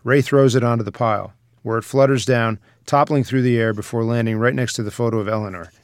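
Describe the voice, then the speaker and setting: A man's voice: medium pitch, fairly even intonation, quick pace, no room sound, studio quality. A man narrating a screenplay's stage directions in a dramatic present-tense storytelling manner.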